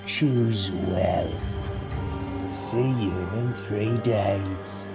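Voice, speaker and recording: A man acting a slow, pompous, menacing armored noble. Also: on the low side, vocal fry, music in the background, exaggerated voice. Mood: coldly menacing. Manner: pompous, mock-gracious, menacing. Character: darkly armored noble, sneering, bellowing, cruel male authority. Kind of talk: scripted audio drama acting.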